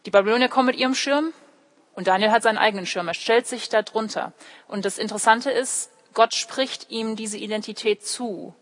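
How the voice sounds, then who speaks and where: A woman's voice: fairly high pitch, a little fast. A young woman, a native English speaker raised in Germany, preaches in an explanatory way, addressing listeners directly and voicing short quotes. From Germany, born in California and raised in Heidelberg. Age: young adult. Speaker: theology student preaching at church. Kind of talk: sermon with personal illustrations. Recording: echoey hall with long reverb.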